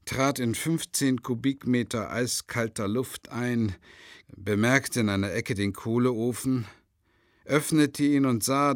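A man plays a weary traveler in a radio play, with a medium-pitched voice in a very dry studio sound. He is polite and worn out, a lecturer recounting his own misfortunes. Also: no echo, close to an everyday voice. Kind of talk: radio drama narration and dialogue.

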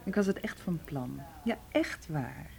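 An actress in a radio drama playing an emotionally strained, soft-spoken young lady, hesitant and placating. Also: low voice, lively intonation, unhurried, vocal fry, heavily acted. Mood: apologetic, wistful.

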